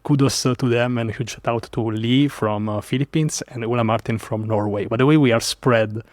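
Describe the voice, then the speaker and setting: A man from Italy with an Italian accent, telling a story as a podcast guest in an animated way with many fillers. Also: mid-range voice, brisk, dry recording. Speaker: guest developer advocate. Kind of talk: casual podcast interview.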